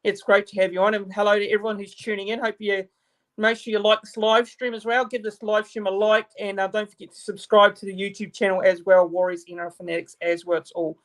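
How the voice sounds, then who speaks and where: A man's voice: high-pitched, level tone, fast talker, fairly bright tone, low-quality recording. A man from New Zealand with a New Zealand accent, hosting a casual live-stream interview on a video call, talking with many fillers and restarts. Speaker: YouTube channel host.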